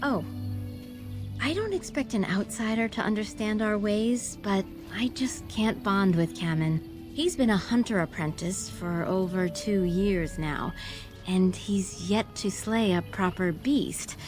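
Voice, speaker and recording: A woman's voice: medium pitch, unhurried, music in the background, lightly acted. A woman playing an earnest, lovelorn young elf in a fantasy video game, speaking in formal phrases. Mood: troubled.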